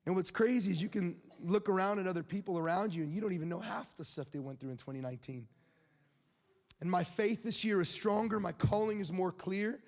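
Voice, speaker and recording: Young man, late twenties, preacher, candid chatty sermon, high voice, hall reverb. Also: a little fast. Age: 20s. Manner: candid, conversational preaching with laughs and asides to the crowd.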